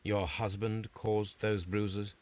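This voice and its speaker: An actor playing a composed, probing British gentleman detective in a video game, formal, courteous and deliberate. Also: lowish voice, little pitch movement. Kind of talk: dramatic video game voice acting.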